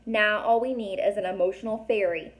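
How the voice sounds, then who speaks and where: A woman's voice: mid-range voice, fairly even intonation, brisk, lightly acted. Woman doing a pushy little boy, blunt and impatient.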